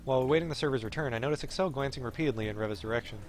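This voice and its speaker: A man's voice: mid-range voice, racing. A man streaming a visual novel, reading the story aloud as live commentary. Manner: puts on voices for the characters. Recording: music behind the voice.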